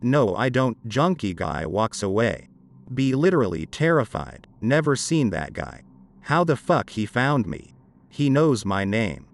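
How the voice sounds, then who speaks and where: Man narrating creepy stories, lively pitch. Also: measured pace, creaky. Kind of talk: scripted narration of horror greentext stories. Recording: dark ambient music behind. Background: dark horror background music.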